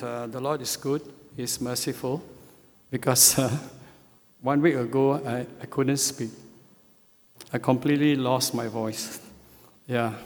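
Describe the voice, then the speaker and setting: A man preaching a sermon as a church elder, speaking in a slow, measured way with frequent hesitations. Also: medium pitch. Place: church sanctuary. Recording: long hall reverb.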